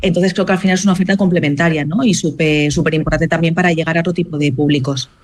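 A woman's voice: low-pitched, steady tone, quick pace. Friendly woman festival organizer. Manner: friendly, frequent tag questions. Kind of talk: casual discussion.

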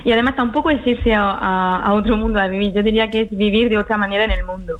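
A woman from Paris answering interview questions conversationally, unhurried, with hesitations and repeated words. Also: mid-range voice, steady tone, phone-quality sound. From Paris, France. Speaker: interview guest, cloistered nun.

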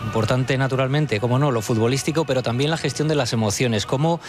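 A man's voice: mid-range voice, quick pace, faint music behind. A man working as a sports interviewer, putting questions in a live pre-match interview.

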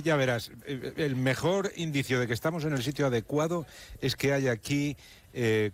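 A man with an accent from Spain giving a live radio report from location, repeating words for emphasis. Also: on the low side.

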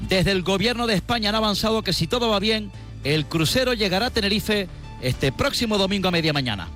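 A man reads a news report formally in a high voice. Soft music plays beneath him. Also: lively intonation, brisk.

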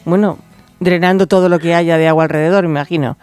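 A man's voice: fairly high pitch, fairly even intonation, fast, darkish tone, smooth, no room sound, faint music behind. A man hosting a conversational radio interview, effusive and often interjecting. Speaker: radio host.

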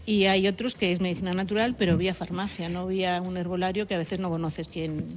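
A woman's voice: lowish voice, fairly even intonation, fast, slight background noise. A woman pharmacist from Madrid, with a Madrid accent blended with southeastern Spanish, chatting readily in a radio interview. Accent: Madrid Spanish mixed with southeastern Spanish. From Madrid, Spain. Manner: chatty, explains readily, laughs easily. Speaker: pharmacist, festival speaker.

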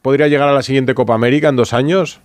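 A Spanish-accented man presents a late-night radio sports program in a dry, close studio sound. His pitch sits in the ordinary middle range for a man, and he chats easily, slipping in quick asides. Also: steady tone, darkish tone, clear, resonant tone, no echo. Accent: Spain Spanish. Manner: conversational, reads aloud with asides and small laughs. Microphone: studio microphone.

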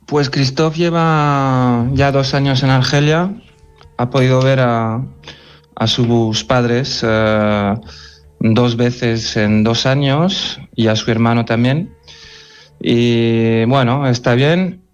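A man's voice: medium pitch, slow, clear, resonant tone, lo-fi sound. A man from France, an editor being interviewed, speaking earnestly with many restarts. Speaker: editor-in-chief of a football magazine. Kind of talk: phone interview on radio.

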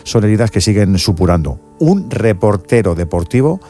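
Guy hosting sports radio, kinda low voice, earnest, keeps restarting sentences. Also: big pitch swings, normal speed, dark tone, faint music behind. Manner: earnest, emphatic, with many restarts. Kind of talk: live sports radio talk.

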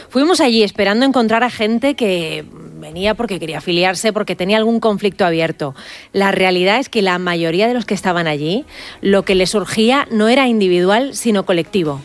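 A woman's voice: mid-range voice, animated, brisk. A woman from narrating a radio report in a conversational, engaged way.

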